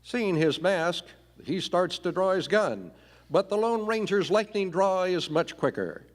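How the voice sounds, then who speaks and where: A man's voice: sits high, lively intonation, a little slow. A man narrating a live Western radio play from a script on stage. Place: performing arts theater stage. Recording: reverberant theater hall.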